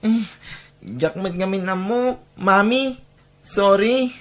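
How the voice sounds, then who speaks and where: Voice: medium pitch, takes it slowly, fairly bright tone. A performer playing a cheeky, pleading young grandchild in a melodramatic audio drama, in a whining, childlike manner. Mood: apologetic.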